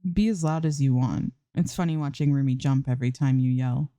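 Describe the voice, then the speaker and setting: A woman's voice: a bass voice, a little fast, dark tone, no room sound. A woman voicing a nonchalant, blunt young woman character in a fan fiction reading. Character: cool, unbothered, blunt young woman, casual roommate.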